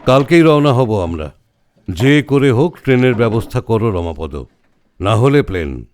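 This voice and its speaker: An actor playing a calm, learned tantra scholar in an audio story, explaining things in a measured, didactic way. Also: male voice, medium pitch, a little hiss or hum. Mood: firm, decisive. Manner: measured, didactic, uses rhetorical questions. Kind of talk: Bengali audio horror story acting.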